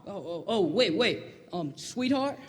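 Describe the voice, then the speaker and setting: Man playing a boyish younger brother, cheerful, high-pitched, radio play, echoing hall. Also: animated, measured pace. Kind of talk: live radio play acting. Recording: echoey hall, long reverb.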